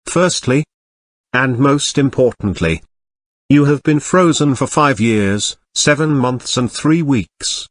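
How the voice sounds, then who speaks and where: A text-to-speech man's voice playing a polite, snobbish young upper-class English gentleman in a quiet, formal, measured way. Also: medium pitch, expressive, dry recording, pitched above the performer's own voice. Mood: calm, formal. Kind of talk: text-to-speech story reading.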